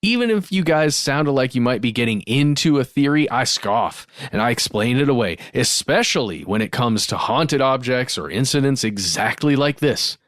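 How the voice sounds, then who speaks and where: Theatrical skeptic recounting a mystery, read by a man, animated intonation, exaggerated emphasis, dry sound. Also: mid-range voice, normal speed, hardly any pauses, dry recording, natural voice. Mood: emphatic, baffled. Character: skeptical listener telling a baffling true story. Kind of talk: dramatic reading of a listener letter.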